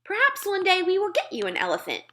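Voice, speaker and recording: A woman's voice: very high-pitched, huge pitch swings, quick pace, a bit bright, slight background noise, voice pushed up, exaggerated voice. A woman voicing a small, curious, earnest girl in a children's storybook, questioning and pleading persistently. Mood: coaxing. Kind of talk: children's storybook read-aloud.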